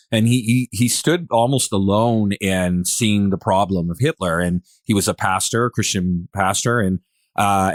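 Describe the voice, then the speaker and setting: A man's voice: on the low side, no room sound, clean studio sound. A man hosting a podcast in casual discussion, rambling and searching for words as he thinks aloud. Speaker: podcast host.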